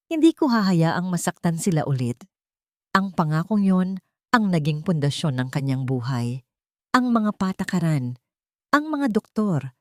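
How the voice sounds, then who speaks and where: A woman's voice: low voice, a little mellow, no room sound, studio quality. A woman reading a scripted dramatic story as a storyteller.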